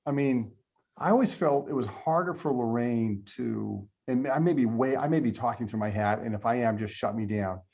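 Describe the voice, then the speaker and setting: A man's voice: medium pitch, moderate pace, darkish tone. Tentative male host, dull lo-fi sound. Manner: tentative, deferential. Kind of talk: casual panel interviewing.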